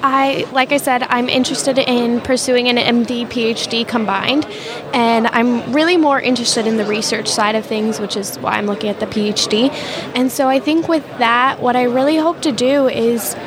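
A woman's voice: a little high, steady tone, moderate pace. A young premed woman speaking earnestly and heartfelt in a vox pop interview. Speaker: premed student. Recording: outdoor event with crowd chatter. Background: crowd chatter.

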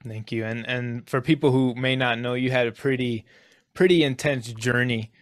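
A man's voice: mid-range voice, fairly even intonation, normal speed, dry recording, studio quality. A man hosting a podcast interview, speaking earnestly and appreciatively, with frequent restarts. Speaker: podcast host.

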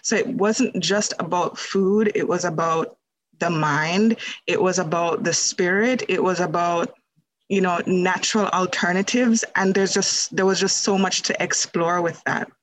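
A woman's voice: lowish voice, a little slow, a little hiss or hum, rough recording. A woman from Jamaica, a holistic nutrition practitioner, telling her story as a podcast guest in a conversational way, with many fillers. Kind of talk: casual interview storytelling.

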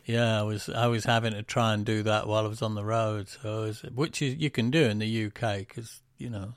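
A man's voice: on the low side, fairly even intonation, a little fast, smooth, no room sound, clean studio sound. A man co-hosting a casual podcast, rambling with lots of ums and self-deprecating asides. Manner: breaks into quoted voices for jokes. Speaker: musician and podcast co-host.

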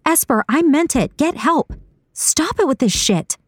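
A woman's voice: a little high, very animated, a little slow, voice pushed up. A woman voicing an indignant, uneasy woman in an audiobook, with an incredulous, emphatic and challenging delivery. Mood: alarmed. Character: Saga, indignant hard-drinking woman, uneasy and accusing. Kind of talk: audiobook character dialogue.

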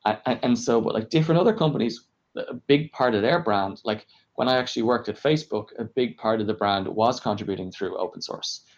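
A man's voice: fairly low pitch, a little fast, muffled recording. A man giving conversational interview answers as an engineering leader, explaining emphatically with lots of "like" and rhetorical questions. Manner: emphatic, filler-heavy, self-questioning explanations with frequent "like". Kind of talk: conversational interview answers about work experience.

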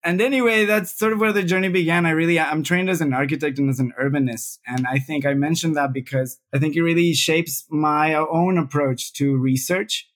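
A man talks as a guest in an interview. His voice sits a little high, and he speaks thoughtfully, often doubling words as he works out a sentence. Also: fairly even intonation, fairly quick, hardly any pauses, a bit bright. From Venezuela. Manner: thoughtful, frequent word repeats. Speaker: UX researcher guest. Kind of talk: casual interview talk.

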